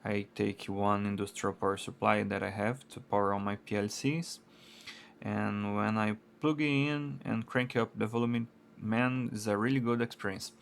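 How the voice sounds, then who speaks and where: A married man from Brazil whose first language is Portuguese, reviewing an amplifier in halting English with a Brazilian accent and frequent "uh" fillers. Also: lowish voice, little pitch movement, creaky, slight background noise. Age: adult, married. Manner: halting non-native English with many uh fillers. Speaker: product reviewer. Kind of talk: casual product review.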